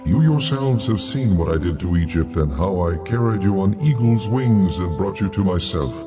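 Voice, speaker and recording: A majestic deity speaks over prominent music in a scripture drama. The voice is very low, solemn and commanding, delivering each pronouncement with authority. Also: male voice, lively intonation, normal speed.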